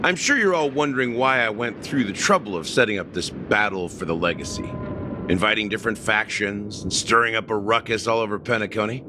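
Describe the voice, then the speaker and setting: An actor voicing a scheming middle-aged man in a game cutscene, measured and wry as he explains. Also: on the low side, background noise, natural voice. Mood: calm. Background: game score music.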